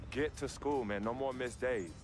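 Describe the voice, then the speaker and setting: An actor playing a laid-back, loyal teenage basketball star in a game, low-key and brief. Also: male voice, moderate pace, over music, lightly acted. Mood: chiding. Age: young adult. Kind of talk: casual banter in a game cutscene.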